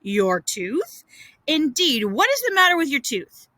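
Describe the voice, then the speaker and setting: A woman voicing a storybook aunt, an older guardian who is brisk, scolding and soft-hearted, with emphatic fussing. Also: high voice, big pitch swings, no room sound, voice pushed up, exaggerated voice. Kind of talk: storybook character voice.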